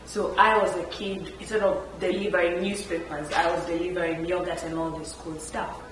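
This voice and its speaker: Woman, panel storyteller, laughs often, lowish voice, echoey room, distant mic, noisy background. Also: normal speed. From Kenya. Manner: animated, laughs often while telling stories. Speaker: entrepreneur on a panel. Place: event room. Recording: echoey room, picked up from far, background noise.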